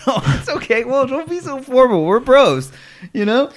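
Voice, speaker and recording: A man's voice: very high voice, big pitch swings, normal speed, faint music behind. A man playing a tabletop game, chatting in a hesitant, giggly way. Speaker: player.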